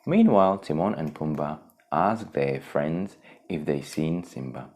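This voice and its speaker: A man reads a children's story in a very low voice. His delivery is unhurried and expressive, with big swings in pitch that give the storybook a theatrical feel. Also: a little hiss or hum. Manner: theatrical, expressive, acts out exclamations. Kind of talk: animated storybook narration.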